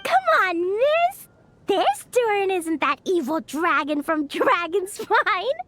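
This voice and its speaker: A woman voicing a friendly, curious little girl in an anime game, bubbly and excitable with a childlike voice. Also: very high voice, slow, slight background noise, exaggerated voice. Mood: cheerful. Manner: bubbly, exclamatory.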